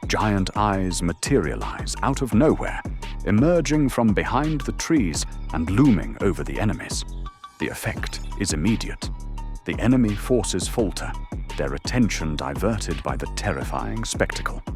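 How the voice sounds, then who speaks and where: A man's voice: deep voice, big pitch swings, normal speed. A man reading scripted, dramatic narration as the narrator of an audio drama. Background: music.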